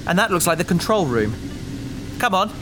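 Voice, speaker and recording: Sci-fi audio drama crew member, female actor: earnest, anxious, mid-pitched, lively intonation, over noisy ambience. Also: normal speed. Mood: tense. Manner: emotional, hesitant, polite.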